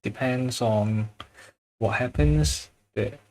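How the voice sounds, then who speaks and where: A man's voice: mid-range voice, slow pace, a little mellow. A man with a Chinese accent, chatting casually with viewers while he draws on a livestream, often searching for words and chuckling. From China. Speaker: drawing livestreamer.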